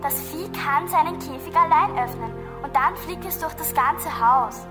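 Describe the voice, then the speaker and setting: An actress playing an excitable, curious young girl detective in a German children's radio play, telling things earnestly. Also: high voice, normal speed, music in the background, lightly acted. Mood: agitated. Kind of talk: German children's audio drama acting.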